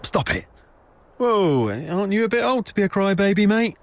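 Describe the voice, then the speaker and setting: Game role: taunting, officious town guard, lively intonation, slightly high voice. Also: male voice, normal speed. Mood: exasperated. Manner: taunting, blustering. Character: gruff, officious, jeering male town gate guard. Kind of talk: video game voice acting.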